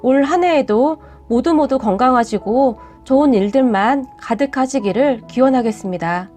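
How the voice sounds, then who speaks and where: A woman host gently reading a viewer's personal story aloud in an earnest storytelling manner. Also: high voice, moderate pace. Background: faint background music.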